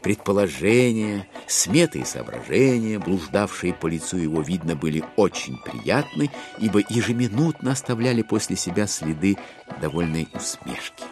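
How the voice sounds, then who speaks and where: A man narrating a classic literary story in an expressive, theatrical audiobook style. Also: low voice, moderate pace, music in the background.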